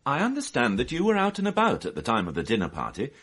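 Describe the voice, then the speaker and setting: A man's voice: medium pitch, huge pitch swings, quick pace, fairly bright tone, slightly rough, exaggerated voice. An actor playing a shrewd police inspector in a radio drama, courteous, teasing and sardonic as he probes. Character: wry, shrewd male police inspector, politely probing.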